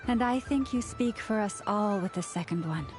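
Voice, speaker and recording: A woman performs a faithful, kindly companion from a video game in a medium-pitched voice. The delivery is earnest, measured and reassuring, with formal phrasing over clearly audible music. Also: expressive, natural voice. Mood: hopeful. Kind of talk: dramatic video game voice acting. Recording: music behind the voice.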